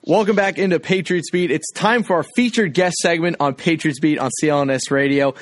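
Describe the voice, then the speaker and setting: A man's voice: a little high, brisk, no room sound, studio quality. A man hosting a sports podcast, interviewing guests in an informal, conversational way with plenty of fillers.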